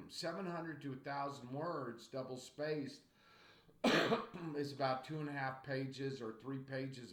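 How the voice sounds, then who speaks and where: A man in his sixties, a college writing instructor giving a recorded video lecture at his webcam at home. He talks slowly and repeats himself in an encouraging way, clearing his throat often. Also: mid-range voice. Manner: slow, repetitive, encouraging teacher talk with frequent throat clearing. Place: small home room indoors. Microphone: webcam at desk. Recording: echoey room with faint background noise.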